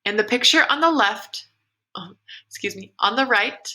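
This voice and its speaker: A woman's voice: fairly high pitch, expressive, moderate pace, bright, forceful tone, poor audio. A woman teacher reading a science article aloud to her students, explaining along the way and correcting her own slips. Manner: encouraging, explains as she reads, with slips and self-corrections.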